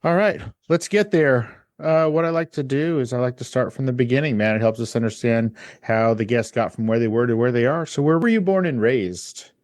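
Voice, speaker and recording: A man hosting a podcast, gushing with enthusiasm and quick asides. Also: medium pitch, a little mellow, no echo, studio quality. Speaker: podcast host. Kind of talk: casual podcast interview.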